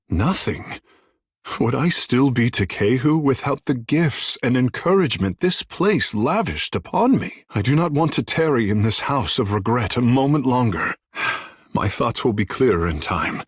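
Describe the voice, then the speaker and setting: An actor voicing a vain, flirtatious sea-folk water shaper in a video game, with theatrical, languid, florid delivery. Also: male voice, mid-range voice, breathy edge, dry recording, studio quality, exaggerated voice. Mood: troubled, reflective. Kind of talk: video game character dialogue.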